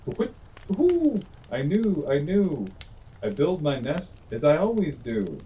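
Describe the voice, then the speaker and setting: A man voicing a smug, self-satisfied male owl in a storybook reading, hooting loudly. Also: fairly high pitch, big pitch swings, unhurried, distant mic, slight background noise, voice pushed up, low-quality recording.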